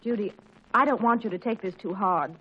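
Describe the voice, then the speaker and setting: A woman's voice: mid-range voice, a little fast, breathy edge, lightly acted. A woman playing a genteel, fluttery middle-aged mother in a 1940s radio sitcom, with gushing, hesitant, sociable talk. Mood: gently scheming. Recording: muffled 1940s radio recording.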